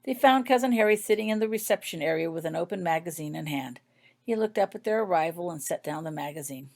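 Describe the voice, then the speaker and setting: Low-voiced woman reading a story. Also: animated, fast talker, creaky, darkish tone. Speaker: author reading own fan fiction aloud. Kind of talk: scripted story narration.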